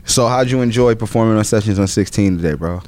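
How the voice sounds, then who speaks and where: A man's voice: on the low side, fast talker. A man hosting a music podcast, asking easygoing questions and often repeating short affirmations. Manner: relaxed, repeats "cool, cool, cool". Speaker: podcast host. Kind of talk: casual interview.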